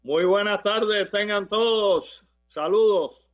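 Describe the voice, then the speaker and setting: A man's voice: takes it slowly, soft background music. A male interview guest giving conversational religious testimony. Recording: dull, low-fidelity sound.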